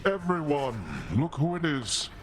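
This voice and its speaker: An actor playing a gruff, stern old god-king of the underworld in a video game, speaking in a grave, imperious and grudging way. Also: fairly high pitch, measured pace, soft background music, lightly acted. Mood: announcing.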